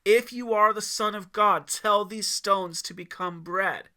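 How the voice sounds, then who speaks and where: A man's voice: high voice, unhurried, bright, forceful tone, clear, resonant tone, pitched above the performer's own voice, exaggerated voice. A man voicing the devil Lucifer as a scornful, theatrical tempter in an audiobook story, mocking and drawing out his emphasis. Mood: challenging, demanding.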